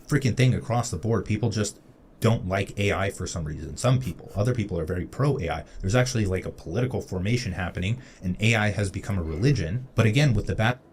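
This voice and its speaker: Blunt low-voiced man. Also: expressive, quick pace, a little mellow, a little hiss or hum. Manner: blunt, emphatic, casually profane. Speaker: video commentator. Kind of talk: opinionated commentary.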